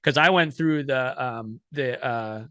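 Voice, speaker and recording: A man's voice: mid-range voice, takes it slowly, pushed and bright, no echo, studio quality. A man hosting a podcast, talking casually in long rambling turns full of fillers and restarts. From United States. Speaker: podcast host.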